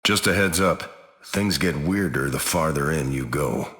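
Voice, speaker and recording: A man voicing an upright veteran team leader in a video game, calm and commanding. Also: extremely low pitch, measured pace, husky, in a large hall, exaggerated voice. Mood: steady.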